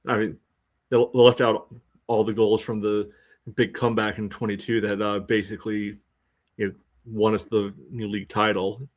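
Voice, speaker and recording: Low-pitched, understated American man. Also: flat delivery, normal speed. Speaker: sports podcast co-host. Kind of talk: casual sports chat.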